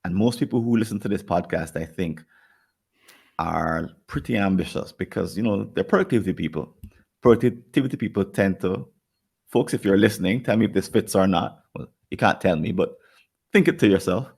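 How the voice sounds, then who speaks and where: A man's voice: deep voice, brisk, vocal fry, darkish tone, breathy edge. A man with a Jamaican accent co-hosting a podcast, talking casually and rambling, repeating words as he thinks. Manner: rambling, repeats words while thinking, acts out quoted people. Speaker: podcast co-host.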